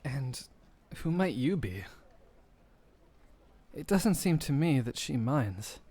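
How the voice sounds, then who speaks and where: A man's voice: mid-range voice, very animated, takes it slowly, warm tone, breathy edge, no echo, full character voice. A man playing a bold, smug warrior suitor in a romantic audio roleplay, speaking with courtly politeness and a taunting edge. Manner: polite and courtly, taunting toward his rival.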